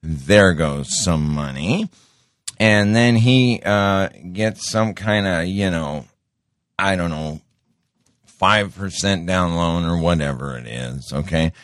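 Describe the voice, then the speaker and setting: A middle-aged man with a deep voice hosting a show in a casual monologue, rambling and interrupting himself, often repeating words. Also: slow pace, fairly bright tone, no room sound, clean studio sound. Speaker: show host.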